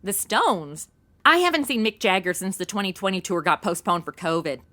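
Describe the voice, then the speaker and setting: A woman's voice: medium pitch, lively intonation, normal speed, bright, forceful tone. An actress playing a flippant, ditzy modern young woman, sassy and unfazed, in a comedic audio drama. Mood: flippant, indignant. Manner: sassy, exaggerated modern slang delivery.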